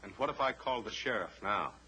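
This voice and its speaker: Old radio cowboy flyer hero, lowish firm voice, calm and brave, bit of noise on the tape. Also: male voice, fairly quick, rough recording. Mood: resolute. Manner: terse, calm, commanding. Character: steady, brave cowboy pilot hero, calm male leader. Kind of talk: old-time radio adventure drama acting.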